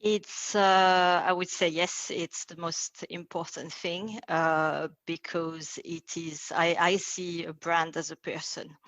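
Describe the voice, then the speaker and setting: A woman's voice: lowish voice, level tone, slow pace, hardly any pauses, a bit bright, dry recording. A woman, a branding consultant raised in France, speaking as an interview guest and using many fillers. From South Korea, raised in France. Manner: thoughtful, frequent fillers, laughs easily.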